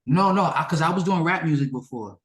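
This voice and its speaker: A man's voice: on the high side, expressive, brisk, echoey room. A man whose native language is Yoruba, a musician giving a casual interview in informal, slangy speech, often repeating himself.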